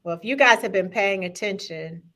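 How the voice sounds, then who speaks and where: Bright, upbeat woman hosting a talk. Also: mid-range voice, normal speed. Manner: upbeat, engaging, addresses viewers directly. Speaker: host. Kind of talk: live-streamed talk show segment.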